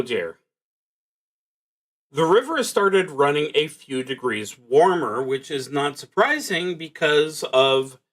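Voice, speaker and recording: A man hosting a solo roleplaying stream, musing to himself in a halting, deliberate way as he plays. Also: on the high side, a bit bright. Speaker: host of a solo roleplaying livestream. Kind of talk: thinking aloud while playing a solo tabletop game.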